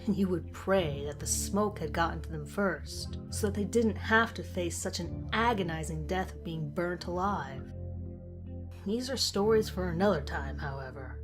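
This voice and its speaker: A woman's voice: lowish voice, normal speed. A woman reading a scripted creepy first-person story as a horror narrator. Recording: music behind the voice. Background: music.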